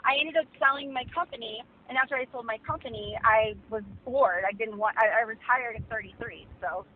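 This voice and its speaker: A woman's voice: on the high side, fairly quick. A self-assured, boastful woman chatting casually on a muffled, recorded phone call. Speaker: caller offering legal sponsorship.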